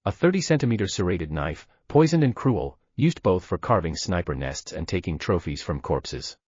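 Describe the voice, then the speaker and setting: Male narrator, very deep voice, lively dramatic delivery, dull lo-fi sound. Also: moderate pace, breathy edge, no room sound. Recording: dull dry lo-fi recording.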